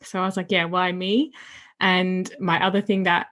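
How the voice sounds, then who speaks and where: A woman hosting a casual podcast interview, speaking English as a second language, enthusiastic and quick to laugh. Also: fairly low pitch, little pitch movement, a bit bright. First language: not English. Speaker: podcast host.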